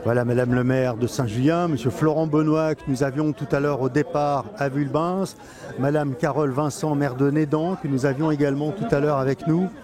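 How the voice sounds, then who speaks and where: A man giving halting live event commentary as an on-the-spot reporter, often pausing and repeating himself. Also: fairly high pitch, steady tone. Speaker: event reporter and club president doing live coverage. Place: outdoors in a town street among a crowd. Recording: outdoor live recording amid crowd noise. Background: crowd and street sounds.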